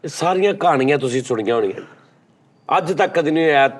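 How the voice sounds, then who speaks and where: A man with a Pakistani Punjabi accent and a slightly raised pitch talks casually in a group. He is sceptical and argumentative, explaining his points like a show host. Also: animated, fast talker. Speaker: host of a comedy chat show.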